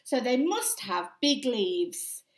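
A woman plays an eager young boy from a storybook, fussy yet cheerful. The voice swings high and lively in a childlike, exclaiming way. It rings in an echoey small room. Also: slow pace. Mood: curious.

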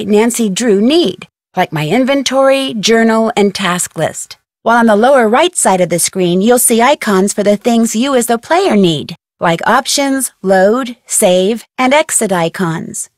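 An actress playing a friendly young female detective who gives scripted, clearly instructional tutorial narration in a video game. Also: fairly high pitch, no room sound, clean studio sound, natural voice.

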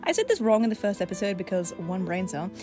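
A woman's voice: medium pitch, very animated, quick pace. A woman introducing her audio drama as its director, chatty, playful and self-deprecating. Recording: music behind the voice. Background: music.

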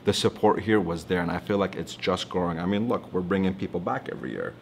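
Guy in his 30s, deep voice, earnest doctor talking about his path, studio interview, faint hum behind. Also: fast, vocal fry. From Toronto, Canada. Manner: earnest, reflective, gestures while talking. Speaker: alumnus physician. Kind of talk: interview testimonial. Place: studio with branded backdrop, indoors.

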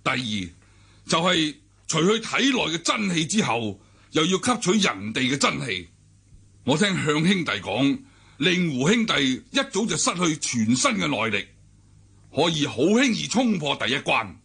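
An actor with a Hong Kong accent playing a domineering, cunning older cult leader in a radio drama, theatrical and raspy. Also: male voice, on the high side, very animated, normal speed, fairly bright tone, full character voice. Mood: explaining. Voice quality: raspy, gravelly. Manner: theatrical, commanding. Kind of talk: Cantonese radio drama acting.

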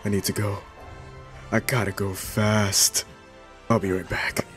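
A man improvising a comedic fan voice-over for a cartoon in a deadpan, goofy manner. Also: fairly low pitch, measured pace, soft background music. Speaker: amateur fan dubber.

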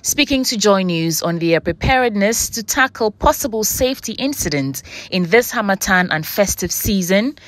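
A woman's voice: medium pitch, animated, normal speed, few pauses, fairly bright tone, no echo. A woman reading a scripted news report as a reporter's voice-over.

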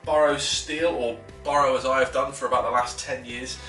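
An enthusiastic man in his thirties explains fishing tackle in a casual, chatty way, with lots of fillers and restarts. His voice is bright and forward. It is recorded from a little distance in an echoey living room, with faint music underneath. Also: medium pitch, fairly even intonation, moderate pace. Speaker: fishing instructor. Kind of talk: casual tackle tutorial to camera. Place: living room at a wooden table. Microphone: camera a few feet away.

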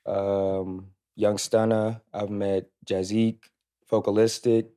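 Laid-back American man, low mellow voice. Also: little pitch movement, takes it slowly. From Louisville, United States. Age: 30s. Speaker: podcast guest. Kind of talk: casual chat. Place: podcast studio. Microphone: studio mic on boom arm.

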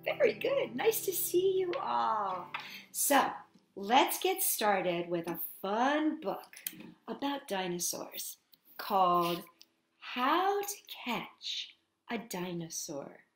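A woman's voice: on the high side, very animated, slow, roomy sound, a little hiss or hum. A woman hosting storytime for young children, reading a picture book expressively and addressing the children directly with questions. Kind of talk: picture-book read-aloud for young children with asides and sing-song greetings.

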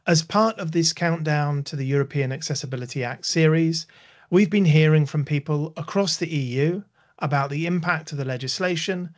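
A man hosting a webinar, formally thanking and introducing speakers from a home office. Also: a little high. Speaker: webinar host. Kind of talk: scripted webinar links.

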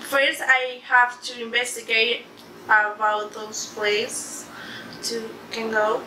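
A young Colombian woman speaks slow, halting English with a Spanish accent, often pausing to find words and laughing softly. Her voice sits fairly high. She is picked up from across an echoey living room with some background noise. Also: level tone, distant mic. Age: young adult. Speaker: interviewee. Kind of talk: casual interview in a second language. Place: living room, sitting on a couch. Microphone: camera across the room.

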